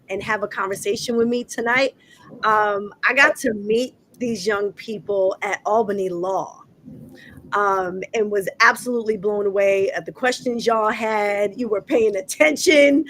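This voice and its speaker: A woman's voice: mid-range voice, slight background noise. A woman hosting a community talk show with a group of young people, speaking in a conversational way with frequent "um"s. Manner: warm, affirming, conversational with many "um"s. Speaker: host. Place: indoor room with a group.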